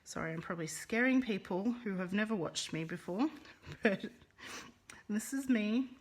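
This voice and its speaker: A woman's voice: mid-range voice, expressive, moderate pace, husky. A woman with a Russian accent teaching a live card-making lesson, chatting with viewers by name and rolling her R's. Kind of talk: live-streamed craft lesson.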